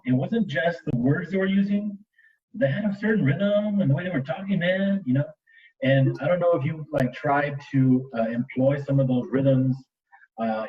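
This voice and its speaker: Relaxed man asking, distant, muffled mic. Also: medium pitch, moderate pace. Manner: relaxed, asking the guest questions. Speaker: podcast co-host. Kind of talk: casual podcast conversation.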